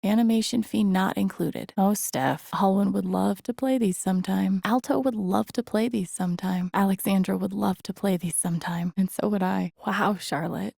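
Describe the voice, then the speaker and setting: A woman's voice: mid-range voice, normal speed, creaky, few pauses, mellow, husky, no echo, clean studio sound. An actor playing an empathetic, introspective young woman in a video game, musing to herself in an understated, reflective way. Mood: wry, amused. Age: young adult. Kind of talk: naturalistic video game voice acting, inner monologue.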